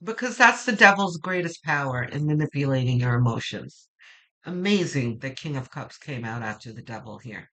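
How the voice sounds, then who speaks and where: A woman with a low voice that turns gravelly when quiet, slowly and reassuringly reading tarot cards and thinking aloud. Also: expressive, low-quality recording. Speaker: tarot reader.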